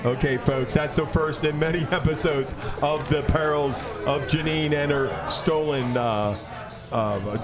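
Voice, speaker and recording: A man hosting a podcast, telling comic anecdotes casually in a rambling, halting way. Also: on the high side. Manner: halting, rambling, with repeated starts. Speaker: podcast host.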